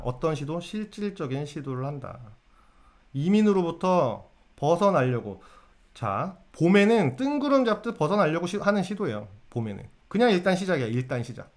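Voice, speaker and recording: A man giving a casual lecture to viewers on fortune-telling. He keeps asking his audience questions and answering them himself. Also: a little high.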